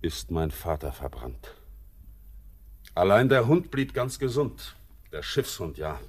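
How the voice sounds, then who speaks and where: Brooding, low-voiced seaman, slow and weighty. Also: sing-song, husky, exaggerated voice. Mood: grave, haunted. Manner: theatrical, weighty verse declamation. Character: brooding, melancholy seaman, bitter and world-weary. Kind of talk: classic German radio drama acting.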